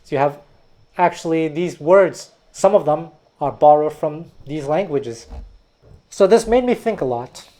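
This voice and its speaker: A man's voice: a little high, animated, a little slow, warm tone. A man from Quebec whose first language is Taiwanese, giving a thoughtful, digressive educational history talk. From Quebec, Canada. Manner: thoughtful, digressive, often restarts words. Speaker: music teacher and video host.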